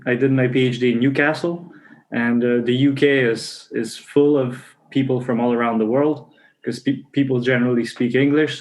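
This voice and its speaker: A man's voice: mid-range voice, poor audio. A man from North Macedonia, a PhD graduate, talking earnestly and enthusiastically, with many fillers, in a panel talk.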